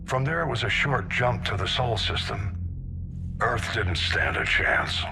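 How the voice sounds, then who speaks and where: Grim male admiral, low pitch, authoritative, blunt, sci-fi game drama, noisy ambience. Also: lively intonation, unhurried. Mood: grim regret. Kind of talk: video game voice acting.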